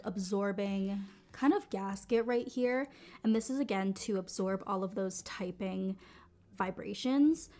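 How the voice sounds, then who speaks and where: A woman hosting a casual live-stream product demo from her home basement studio, talking in a chatty way with many fillers and restarts, her voice a bit deep from being sick. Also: a little mellow. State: sick, voice deeper than usual. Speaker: livestream product host.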